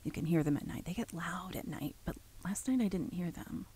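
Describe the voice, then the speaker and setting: An actress playing an uneasy, brooding woman who haltingly records her account in a horror drama, with a husky, gravelly voice. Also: lowish voice, expressive, mellow. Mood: hushed, brooding. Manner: halting, brooding, breaking into whispers.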